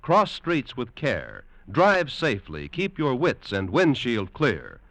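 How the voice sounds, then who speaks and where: An American man announcing formally in scripted mid-century radio style, with measured, emphatic phrasing. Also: mid-range voice, wildly swooping pitch, a bit bright, dry recording.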